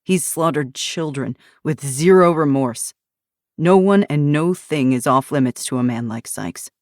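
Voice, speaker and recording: A woman reading a tense, protective man's dialogue with grave, measured urgency. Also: low voice, a little mellow, no room sound, clean studio sound. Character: tense protective man, grim and guarded.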